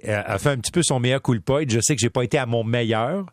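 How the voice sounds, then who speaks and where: A man's voice: medium pitch, fast talker, no echo. Quebec male hockey reporter, middle voice, dry sound, talky and anecdotal. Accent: Quebec French. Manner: chatty, storytelling, many fillers and restarts. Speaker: sports reporter. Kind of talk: radio sports talk.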